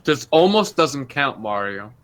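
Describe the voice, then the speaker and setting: A man's voice: mid-range voice, big pitch swings, unhurried. A man bantering casually as a player in a tabletop roleplay game.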